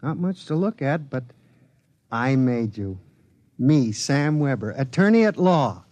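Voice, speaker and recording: An actor playing a hapless, lovelorn young junior lawyer in a 1950s radio drama, hesitant and awkward. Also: male voice, medium pitch, big pitch swings, a little slow, a little mellow, no echo. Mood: eager, wondering. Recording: dull vintage 1950s radio recording.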